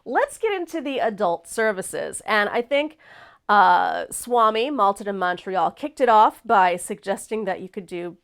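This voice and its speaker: A woman's voice: medium pitch, expressive, a little slow, clear, resonant tone. A woman hosting a casual live talk show, speaking frankly, laughing often and reading out viewer comments. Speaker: host. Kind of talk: casual chat.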